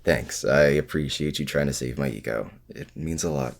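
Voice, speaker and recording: A man acts out a supervillain in an intimate roleplay audio drama, with a very low voice full of gravel. He is a feared crook with hidden self-doubt, talking to his heroic rival in a casual, halting, confiding way. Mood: vulnerable, grateful. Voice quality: very deep, gravelly.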